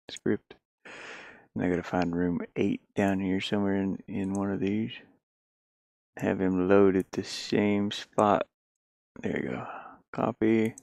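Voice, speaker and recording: A man thinking out loud in casual livestream talk, muttering to himself as he works on a game. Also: deep voice, gravelly, dry recording. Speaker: indie game developer streaming.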